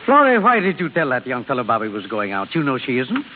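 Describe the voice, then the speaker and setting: A man's voice: mid-range voice, wildly swooping pitch, quick pace. An actor playing a droll, sardonic middle-aged father of grown daughters in a vintage radio drama, dry and teasing. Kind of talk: 1930s-40s radio drama acting. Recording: reverberant, dull old radio recording, faint hiss.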